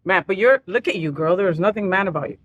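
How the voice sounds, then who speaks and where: A woman's voice: lowish voice, animated, fast, highs cut off. A middle-aged woman hosting a casual interview, bubbly and teasing, laughing often. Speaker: host.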